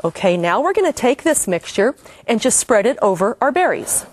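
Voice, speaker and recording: A woman's voice: fairly high pitch, big pitch swings, moderate pace. Chatty woman hosting a cooking demo. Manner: homey, enthusiastic, chatty instructions. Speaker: cooking show host. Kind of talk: cooking demonstration.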